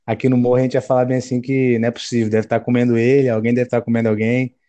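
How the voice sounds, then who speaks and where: A man from Brazil co-hosts a football chat with a mellow, dark-toned voice. He talks in easy street slang and lays out his points calmly. The sound is dry and close, with no room echo. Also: mid-range voice. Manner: laid-back, slangy, measured. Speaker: podcast co-host.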